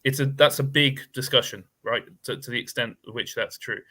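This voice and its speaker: A man's voice: medium pitch, a little fast, sharp tone. A man speaking as a guest expert on a discussion panel, thoughtful and hedging, with many fillers and restarts.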